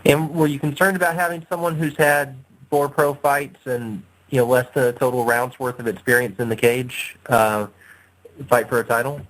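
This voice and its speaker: A man's voice: mid-range voice, flat delivery, moderate pace, fairly bright tone. A man working as a reporter, asking a question on a press conference call. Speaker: sports reporter. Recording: faintly noisy conference call line.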